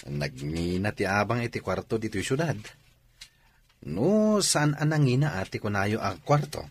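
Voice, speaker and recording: A man playing an eager, persuasive young companion in a radio drama, with an animated, coaxing manner. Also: on the low side, normal speed, a little hiss or hum.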